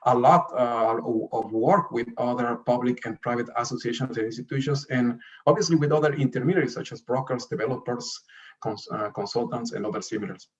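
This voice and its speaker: A man delivers an official slide presentation in a mid-pitched voice. He speaks haltingly, repeating and rewording as he goes. The sound is slightly muffled, like a video call. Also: fairly even intonation. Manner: halting, repeats and rephrases words. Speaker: investment promotion director presenting. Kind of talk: slide presentation to an online summit. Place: remote online video call. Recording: dull, lo-fi video call audio.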